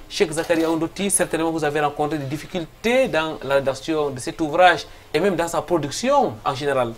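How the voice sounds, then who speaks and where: A man from Cameroon hosting a religious program, asking formal, respectful questions with rising emphasis. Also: fairly high pitch. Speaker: show host, interviewer.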